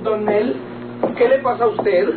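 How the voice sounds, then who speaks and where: An actor playing a meddling, timid and devoted Mexican manservant, prim and whiny, in old radionovela style. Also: male voice, high-pitched, steady tone, background noise. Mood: fussy, affectionate. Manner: whiny, effusive, prim, drawn-out pleading. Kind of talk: golden-age Mexican radionovela comic acting. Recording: old tinny radio recording with music.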